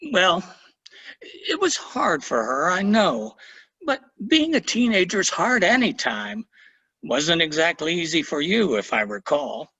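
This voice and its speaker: A man's voice: high-pitched, very animated, a little slow, sharp tone, slightly rough, muffled recording, exaggerated voice. An actor in a staged reading playing a wry, laid-back grandfather over sixty. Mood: teasing. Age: older. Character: grumbling grandfather, dry and easygoing. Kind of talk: virtual staged play reading.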